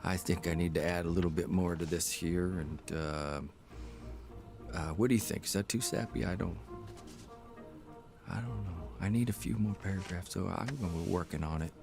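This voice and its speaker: A man's voice: deep voice, moderate pace, creaky, over music. A man playing a folksy newspaper columnist and caring husband in a radio drama, with a wry, homespun, conversational way of talking. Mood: unsure, quiet. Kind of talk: radio drama, storytelling monologue.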